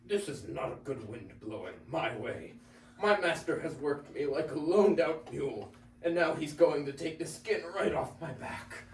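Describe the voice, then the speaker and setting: A man's voice: on the high side, lively intonation, normal speed. A teenage boy playing an old worn-out donkey with musical dreams, joking and punning in a reader's theater performance. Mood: wary. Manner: jokey, punning. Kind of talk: reader's theater script reading. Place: high school classroom. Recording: roomy, distant classroom recording, faint noise.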